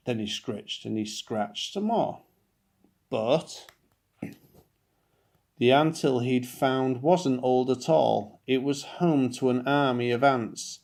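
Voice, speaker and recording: Creaky-voiced dad reading a children's story. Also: male voice, medium pitch, darkish tone. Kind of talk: children's picture-book narration.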